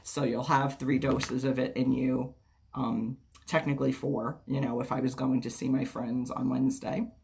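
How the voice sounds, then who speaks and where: A woman vlogger chatting casually to camera, her voice raspy and hoarse from a cold, rambling and swearing freely. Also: very deep voice, flat delivery, moderate pace, darkish tone.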